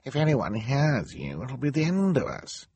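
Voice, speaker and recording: Creaky, wildly swooping villain henchman. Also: medium pitch, huge pitch swings, a little mellow, no echo, pitched above the performer's own voice, full character voice. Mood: scolding. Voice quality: creaky, raspy. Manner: slow, swooping, exaggerated. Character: nasty, clever bald long-nosed henchman.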